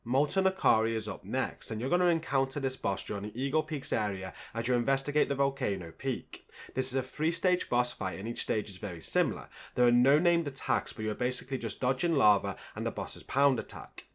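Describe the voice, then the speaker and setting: A man's voice: mid-range voice, animated, a little fast, fairly bright tone. A man hosting a gaming video, reading scripted guide narration in a straightforward, instructional way.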